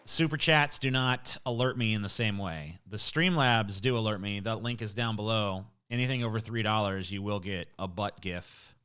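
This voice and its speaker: A man's voice: fairly low pitch, normal speed, few pauses, pushed and bright, clear, resonant tone. A man hosting a livestream, reading chat comments aloud in a rambling, profane, casual way. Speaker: livestream host.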